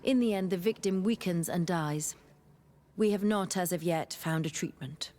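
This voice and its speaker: A woman's voice: on the low side, moderate pace, mellow, lightly acted. A woman playing a sober, learned physician in a video game, clinical and formal in delivery. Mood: grave. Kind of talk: video game cutscene acting.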